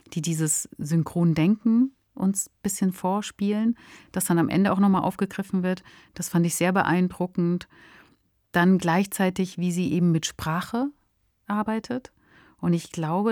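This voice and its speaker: A woman's voice: on the low side, darkish tone, no room sound, clean studio sound. A woman co-hosting a podcast, talking casually and analytically in discussion. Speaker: podcast co-host.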